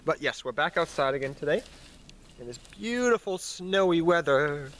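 A man's voice: fairly high pitch, expressive, moderate pace, fairly bright tone. Young man, stuffy nose from a cold. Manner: rambling, self-correcting, addresses viewers as guys. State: nasal from a head cold. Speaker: card game vlogger. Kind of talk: casual talk to camera. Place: outdoors in snowy woods. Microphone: built-in camera mic at close range. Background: passing cars and planes.

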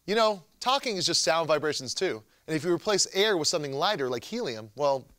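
A man's voice: on the high side, lively intonation, a little fast, a bit bright, slight background noise. A young man hosting a show with scripted comedic narration in a jokey, wry manner. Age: young adult. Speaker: host.

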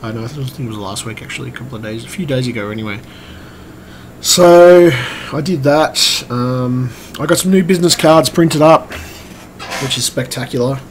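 A man talks in a loose, rambling vlog style at a middling pitch. His voice is croaky and strained, and he pauses and hesitates as he goes. Clear noise sits behind him throughout the recording. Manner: hesitant, rambling, swears casually. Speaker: vlogger and computer repairer. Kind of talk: rambling casual update vlog. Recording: clearly noisy room recording.

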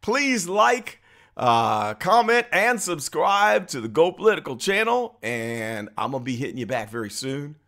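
A man's voice: fairly high pitch, big pitch swings, a little slow, a bit bright. A middle-aged American man giving casual, emphatic, exclamatory reaction commentary as a channel host.

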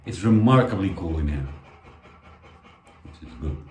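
A man's voice: deep voice, measured pace, roomy sound. A middle-aged man chatting casually to a handheld camera, explaining his renovation work as he goes. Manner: relaxed, chatty, explaining as he works. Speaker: vlogger renovating a house. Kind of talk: casual vlog chat to camera. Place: outdoors and in a small whitewashed room. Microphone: handheld camera at arm's length.

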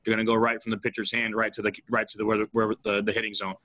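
A man in his thirties talks baseball on a video call from an office, rushing his points and restarting sentences. The sound is close and dry. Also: on the low side, completely flat delivery, sharp tone, no echo. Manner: fast, emphatic, restarts often. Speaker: baseball coach. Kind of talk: video call panel discussion. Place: indoor office with ball caps on wall. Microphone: video call webcam.

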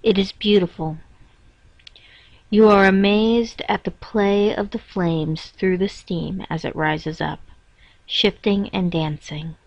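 Very slow woman guiding a meditation. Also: medium pitch, fairly even intonation. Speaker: guided meditation reader. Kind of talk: slow scripted guided meditation narration. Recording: dull, roomy echoing lo-fi recording.

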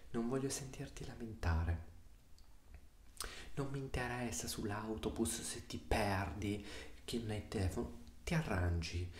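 A young man playing a stern, exasperated father in a soft-spoken ASMR roleplay, hushed and often slipping into whispers. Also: medium pitch, close to an everyday voice. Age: 20s. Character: stern, exasperated, sarcastic Italian father scolding his son. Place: small room indoors. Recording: roomy echo, faint hiss.

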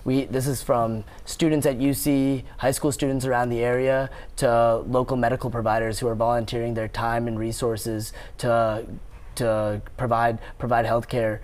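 A man's voice: mid-range voice, flat delivery, moderate pace, a little mellow. A young man, a pre-med student, speaking earnestly in a TV studio interview on a lapel mic. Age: young adult. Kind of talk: live TV interview. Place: TV news studio.

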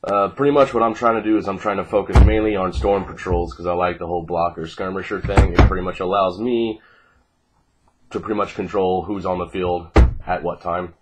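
Groggy, deep-voiced young man. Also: fairly even intonation, brisk. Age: 20s. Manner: laid-back, sweary. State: groggy, congested from fighting off a cold. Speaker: card game player presenting a deck. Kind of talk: casual video deck profile, unscripted. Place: small living room at home, indoors. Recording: noisy home webcam recording with clicks.

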